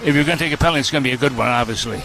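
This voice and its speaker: Quick-talking male sports broadcaster in noise. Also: medium pitch, creaky. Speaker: rinkside radio broadcaster and interviewer. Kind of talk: sports broadcast commentary and interview. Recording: noisy arena ambience behind voice.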